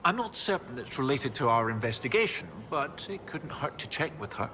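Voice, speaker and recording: An actor playing an enthusiastic research professor in a video game, explaining things in a pedantic, eager way. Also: male voice, mid-range voice, big pitch swings, a little fast, noisy background, lightly acted. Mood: absorbed, explanatory. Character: enthusiastic, bookish male research professor and archaeologist. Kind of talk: video game dialogue acting.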